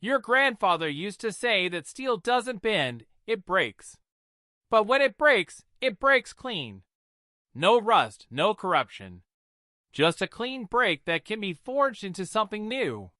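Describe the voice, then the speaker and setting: Man voicing a proud blind mother, raised pitch. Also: very animated, takes it slowly, sharp tone, dry recording, studio quality, full character voice. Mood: solemn resolve. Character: determined blind mother, Nigerian woman, proud and defiant. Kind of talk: dramatised folktale narration.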